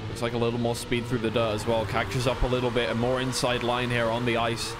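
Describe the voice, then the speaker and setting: A man's voice: medium pitch, monotone, fairly quick. A man giving live esports racing commentary in a conversational way, often second-guessing himself. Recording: clear background noise of vehicle sounds.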